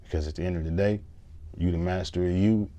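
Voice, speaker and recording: A man's voice: low-pitched, fairly even intonation, quick pace, dark tone. A man hosting a show, talking through a rambling motivational monologue and repeating "you know what I'm saying.". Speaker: show host reacting to a post. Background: music.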